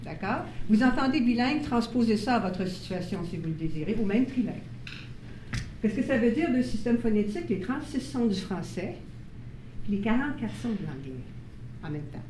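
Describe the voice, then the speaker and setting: A woman's voice: a little high, big pitch swings, fairly quick, darkish tone. A woman leading a workshop for parents, explaining ideas and checking in with her listeners. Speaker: workshop presenter on child bilingualism. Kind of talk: live workshop talk to parents. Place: meeting room with audience. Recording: roomy sound from a distant mic, faint noise.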